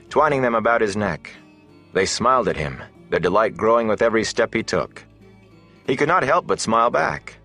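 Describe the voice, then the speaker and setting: A man with a low voice narrates an epic fantasy tale. His delivery is measured and deliberate, shaped like dramatic scripted storytelling. Faint music plays behind him. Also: a bit bright. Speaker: audiobook narrator.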